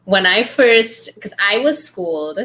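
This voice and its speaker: A woman from Trinidad with a light Trinidadian accent, hosting a casual book club chat and telling lively stories with laughs and acted-out quotes. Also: medium pitch, unhurried. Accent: light Trinidadian, trained toward neutral. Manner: animated storyteller, laughs often, acts out quotes.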